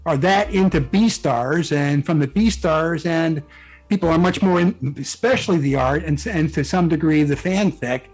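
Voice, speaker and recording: A man being interviewed, chatting casually as a guest and explaining things in a halting, self-interrupting way. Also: a little high, breathy edge, low-quality recording. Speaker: interview guest, fan fiction author and musician. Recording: music playing behind the voice. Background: music.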